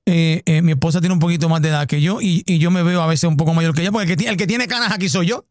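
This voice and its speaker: Fast, high-voiced joking radio man. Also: no echo, clean studio sound. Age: 40s. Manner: joking, apologetic, tells anecdotes. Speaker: radio host. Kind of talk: casual radio banter. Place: radio studio.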